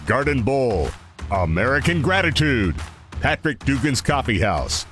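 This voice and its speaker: A man's voice: medium pitch, very animated, measured pace, fairly bright tone. A man reading a scripted podcast outro and list of sponsors as announcer. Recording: over clear guitar music.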